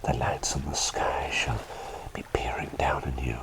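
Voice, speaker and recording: A man playing a gentle, doting plague doctor in an ASMR roleplay, speaking in soothing, slightly formal, breathy whispers. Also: extremely low pitch, steady tone, measured pace, exaggerated voice, low-quality recording. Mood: soothing, lulling. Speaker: ASMR performer.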